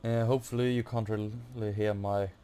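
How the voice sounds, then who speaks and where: A man's voice: lowish voice, flat delivery, normal speed, warm tone. A man giving a casual game walkthrough, hesitantly muttering to himself and reading text off the screen. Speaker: gaming video host. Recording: faint noise from a fan. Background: computer or room fan.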